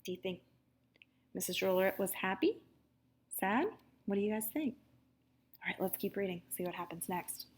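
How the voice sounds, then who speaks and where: A woman teacher reading a story aloud to young children in an expressive, animated manner, pausing to chat with her listeners. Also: medium pitch, breathy edge.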